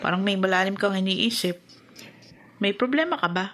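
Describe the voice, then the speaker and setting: A woman's voice: medium pitch, expressive, moderate pace, a bit bright, slight background noise, close to an everyday voice. A woman playing an emotive young adult elder sister in a Filipino radio drama, with a Filipino accent. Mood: concerned. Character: concerned, indignant grown daughter and elder sister.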